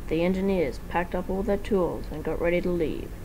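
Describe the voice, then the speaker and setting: A woman's voice: lowish voice, normal speed, noisy background. A woman giving an amateur dramatic reading of a fan-written story as its narrator.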